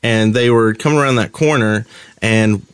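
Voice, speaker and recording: A man's voice: fairly low pitch, normal speed, clean tone, no echo. A man co-hosting a casual gaming podcast in an enthusiastic, joking way. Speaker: podcast co-host.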